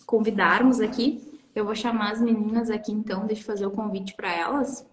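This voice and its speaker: A woman's voice: medium pitch, fairly even intonation, a little fast, reverberant, low-quality recording. A woman hosting a live-stream interview, chatty and friendly as she introduces guests. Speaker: host.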